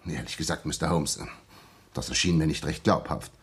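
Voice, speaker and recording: An actor in a 1960s German radio drama playing an earnest young former soldier, polite but insistent. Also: male voice, very deep voice, moderate pace, husky. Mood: earnest narrating. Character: earnest, persistent young ex-soldier seeking his friend.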